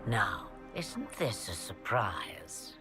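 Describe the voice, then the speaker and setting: Ancient game witch mother, unhurried, theatrical, knowing, wry, imperious. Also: rumbling low, wildly swooping pitch, over music, exaggerated voice. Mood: wry amusement. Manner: theatrical, deliberate, wryly condescending. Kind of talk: video game cutscene acting.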